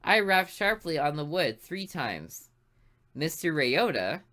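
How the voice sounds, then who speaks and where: A woman's voice: sits low, very animated, takes it slowly, fairly bright tone. A woman hosting a gaming video, reading a visual novel aloud expressively with her own asides.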